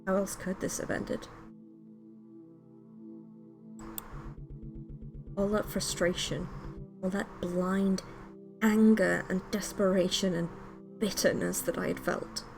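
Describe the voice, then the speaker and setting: A wounded narrator full of regret tells a spooky visual novel tale, read out by a woman. The voice is mid-pitched. Music runs underneath the dramatic reading. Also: little pitch movement, a little slow, gravelly. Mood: regretful.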